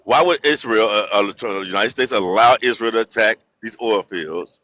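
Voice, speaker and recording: A man calling in to a live chat, talking calmly at first and then growing loud and indignant as he argues. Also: lowish voice, normal speed. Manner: builds from calm to loud indignant rants. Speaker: caller. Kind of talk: heated casual debate. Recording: muffled dull audio.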